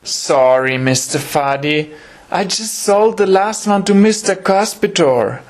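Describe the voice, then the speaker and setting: A polite, mild-mannered butcher, voiced by a man in a horror radio play. He speaks earnestly and courteously to his customers, with lively, swinging intonation. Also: high-pitched, slow pace. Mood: apologetic. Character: mild, honest small-town butcher, apologetic and polite. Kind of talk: audio drama dialogue.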